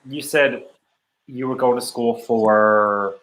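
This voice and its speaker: A man hosting a casual chat show, asking slow, hesitant questions. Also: medium pitch, steady tone, a bit bright, rough recording. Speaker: host.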